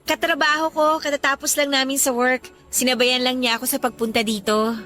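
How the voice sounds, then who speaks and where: A woman's voice: high voice, little pitch movement, brisk, pushed and bright, soft background music. An actress playing a shy, defensive young working woman with a Filipino accent in a radio drama. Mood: friendly.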